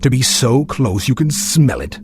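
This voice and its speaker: An actor playing a sinister, silky magician's mechanic in an audio drama, speaking in a formal, ominous, insinuating manner. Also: male voice, medium pitch, big pitch swings, unhurried, background noise. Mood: ominous relish.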